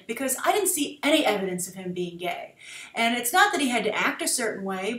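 An echoey small-room webcam recording of a young woman. Her voice is fairly high, and she reviews a film off the cuff, talking straight to camera. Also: fairly bright tone. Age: young adult. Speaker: web show host, film reviewer. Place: small plain room indoors.

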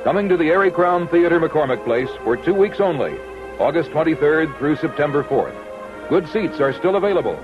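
A man's voice: fairly high pitch, expressive, a little fast. An American man reading a scripted promotional radio advert as a commercial announcer. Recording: dull old radio advert recording. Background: music.

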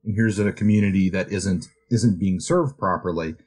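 A man's voice: deep voice, moderate pace, a little mellow. A man co-hosting a podcast, casually discussing books and thinking aloud in tentative phrases. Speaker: podcast co-host.

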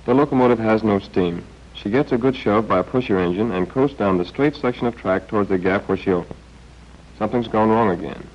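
A man's voice: fairly low pitch, brisk. An American man narrating a scripted 1940s training film in a wry, understated way, on an old film soundtrack. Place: voice-over recorded for a film. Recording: dull, band-limited old film soundtrack.

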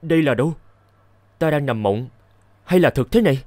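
An actor playing a naive, smitten young scholar in an animated fairy tale, earnest and theatrical. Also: male voice, fairly high pitch, normal speed, no room sound, natural voice. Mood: lovestruck.

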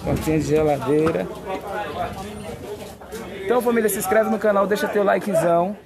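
A man's voice: high voice, normal speed. Hyped man at a car fair. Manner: upbeat, repeats catchphrases and "família". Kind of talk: hyped sales walkaround. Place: outdoor car lot. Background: crowd chatter at a car fair.

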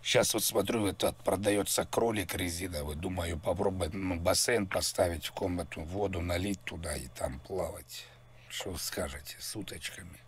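A man making a rambling prank call, mock-serious and crude, with a husky voice. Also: low voice, normal speed, slight background noise. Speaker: prank caller.

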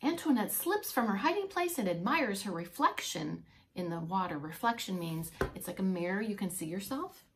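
A woman's voice: mid-range voice, normal speed, noisy background. A woman around her forties, a preschool teacher reading a picture book aloud expressively and explaining things to young children. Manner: expressive, stopping to explain words. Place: small indoor room with bookshelf and whiteboard.

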